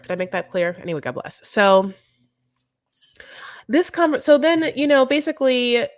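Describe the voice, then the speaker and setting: A woman's voice: on the low side, dry recording, studio quality. A woman hosting a casual live recap chat, rambling and campy with lots of asides. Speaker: show recap host.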